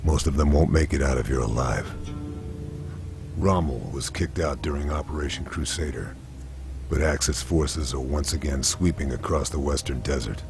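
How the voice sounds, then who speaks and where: A solitary, war-hardened sniper with an extremely low voice full of gravel. He narrates a game cutscene in clipped, brooding deadpan, grim and weary. Music plays clearly behind him.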